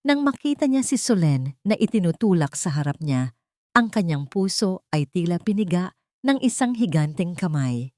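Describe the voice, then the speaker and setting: A woman narrates a melodramatic audiobook in a somewhat low voice. The delivery is solemn and suspenseful, and the recording is dry and close. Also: very animated, moderate pace, warm tone, dry recording. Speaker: story narrator. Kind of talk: scripted dramatic audiobook narration.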